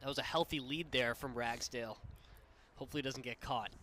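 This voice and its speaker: A man with a medium-pitched voice gives color commentary on a live baseball game. He speaks very rapidly over clear ballpark noise. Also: pushed and bright.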